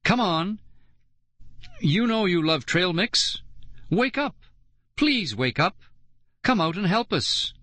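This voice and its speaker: A man's voice: big pitch swings, slow pace, long pauses, sharp tone, dry recording, heavily acted. A man voicing a desperate young boy who begs and coaxes frantically. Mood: pleading. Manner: frantic, begging, high and wild.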